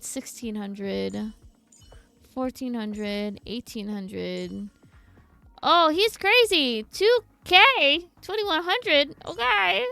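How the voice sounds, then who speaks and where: A woman's voice: high-pitched, creaky, sharp tone, clean tone, no echo. A woman doing casual, slangy game stream commentary, reacting and thinking aloud.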